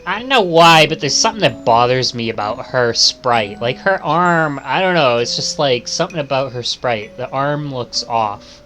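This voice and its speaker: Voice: medium pitch, animated, moderate pace, few pauses, fairly bright tone, faint music behind. A streamer reading visual novel text aloud and adding casual commentary.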